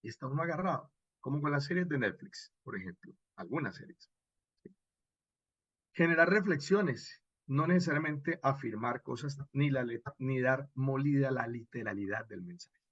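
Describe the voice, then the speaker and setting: A man with a Colombian accent and a husky voice, lecturing expressively as a radio drama instructor and checking in with short rhetorical questions. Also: mid-range voice, lively intonation, normal speed, a bit bright, dry recording, lo-fi sound. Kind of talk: animated workshop lecture with acted examples.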